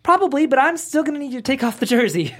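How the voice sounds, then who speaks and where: Hapless schemer dad read by a man: exasperated, halting, very animated comedy delivery. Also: very high voice. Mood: flustered, stubborn. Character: hapless, scheming small-town dad, stubborn and defensive. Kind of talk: comedy script table read.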